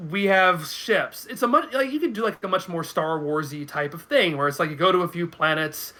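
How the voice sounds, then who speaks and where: A man giving casual commentary as a guest, halting and often restarting his phrases. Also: a little high, pushed and bright. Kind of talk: casual chat.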